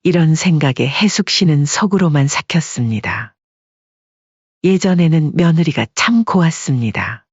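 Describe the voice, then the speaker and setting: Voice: medium pitch, expressive, moderate pace, husky, no echo, lo-fi sound. A narrator reading a scripted family story in a storytelling style.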